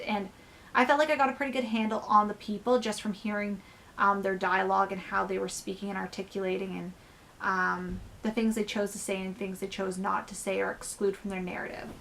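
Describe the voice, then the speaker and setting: Young woman book vlogger, casual and chatty, voice going hoarse, faint background hiss. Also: medium pitch, a bit bright, poor audio. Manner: chatty, lots of "like" and "um". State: losing her voice. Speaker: book reviewer. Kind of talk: casual book review vlog.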